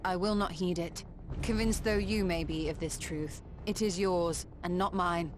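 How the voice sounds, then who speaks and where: A woman's voice: lowish voice, fairly even intonation, measured pace, noisy background, close to an everyday voice. A woman playing a proud, wise sorceress and scholar in a fantasy video game, speaking in a composed, formal, archaic manner. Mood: composed, firm. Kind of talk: scripted video game drama acting.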